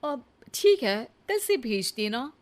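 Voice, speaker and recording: A woman's voice: a little high, very animated. A woman voicing a snobbish middle-aged Indian society lady in a cartoon, affected and gushing. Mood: condescending. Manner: affected, gushing, drawn-out English phrases.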